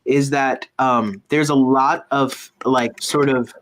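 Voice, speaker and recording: A man giving a casual, intuitive tarot reading, halting and circling back to repeat his phrases. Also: medium pitch, fairly even intonation, a bit bright, a little hiss or hum. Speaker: tarot reader and medium, host.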